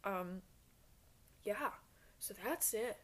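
A woman's voice: mid-range voice, lively intonation, extremely slow. A woman in her twenties hosting a solo podcast in a rambling, casual chat, blunt and self-deprecating, swearing freely. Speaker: podcast host.

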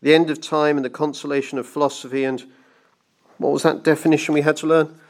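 A man's voice: a little high, flat delivery, fast talker, pitched above the performer's own voice, natural voice. A man voicing a frenzied, domineering banker in an audiobook story, with a grandiose, barking manner. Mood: hesitant. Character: frenzied, domineering male banker obsessed with defeating time. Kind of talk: dramatised audiobook reading.

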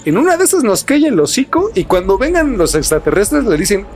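Mexican podcast host, slightly high male voice. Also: big pitch swings, fairly quick, darkish tone, soft background music. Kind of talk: casual podcast chat.

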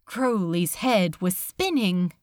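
A woman narrates dramatic fiction with theatrical expression. Her pitch swings widely while she takes her time over each phrase. The recording is dry and free of room sound. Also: lively intonation, takes it slowly, a little mellow, no echo. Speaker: audiobook reader.

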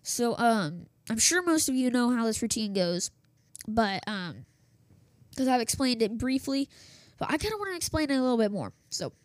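A woman's voice: fairly high pitch, very animated, moderate pace, no echo. Chatty woman host with a worn voice. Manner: chatty, self-interrupting, many fillers and restarts. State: losing her voice. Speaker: host of a school radio news show. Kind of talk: casual rambling radio chat. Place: home office. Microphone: desktop setup with small soundboard.

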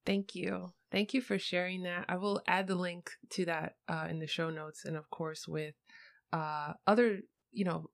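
A woman's voice: fairly low pitch, gravelly. A woman speaking conversationally as a podcast host, interviewing guests.

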